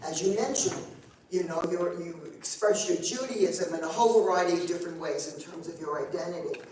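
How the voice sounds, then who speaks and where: A woman with a somewhat low voice asks a long, winding question from the audience, piling up examples before she gets to her point. She is picked up from far off in a very echoey room. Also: brisk, darkish tone. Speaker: congregant asking a question. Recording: echoey room, mic far off, faint noise.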